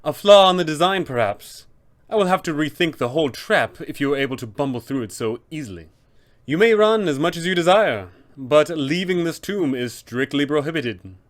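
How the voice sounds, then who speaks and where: A man's voice: a little high, expressive, normal speed, lightly acted. A man voicing an officious, polite, fussy undead tomb custodian with formal announcer phrasing, as a tabletop villain. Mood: fascinated, fussy.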